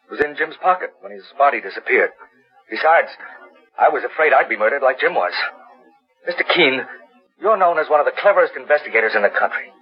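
A man's voice: mid-range voice, lively intonation, quick pace, slightly rough. An actor playing an earnest, frightened man pleading insistently for help in a 1940s radio drama. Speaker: actor in a detective radio serial. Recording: muffled 1940s radio recording.

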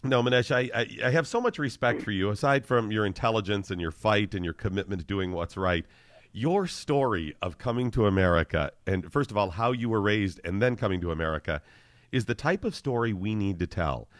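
A man's voice: on the low side, expressive, fairly quick, no room sound. A man hosting a talk radio show, effusive in his praise and often repeating words as he starts his sentences.

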